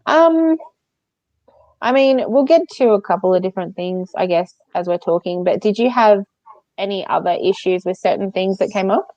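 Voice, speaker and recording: A woman with a creaky, fry-edged voice co-hosts a casual book chat. She is informal and giggly in manner, and the sound is dry and a little dull. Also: medium pitch, normal speed, darkish tone, no room sound. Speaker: book podcast co-host.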